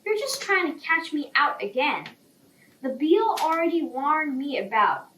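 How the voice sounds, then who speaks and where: Woman voicing a secretive, worried elephant, high-pitched. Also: sing-song, unhurried, a bit bright, exaggerated voice. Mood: suspicious. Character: worried, secretive, blustering male elephant with wrinkled trunk. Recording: roomy, distant, faint background noise.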